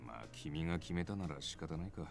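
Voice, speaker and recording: An actor playing a suave, prickly gentleman in a Japanese visual novel, smooth and condescending. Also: extremely low pitch, expressive, very fast, full character voice. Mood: reluctant, resigned. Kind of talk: Japanese game voice acting. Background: game music.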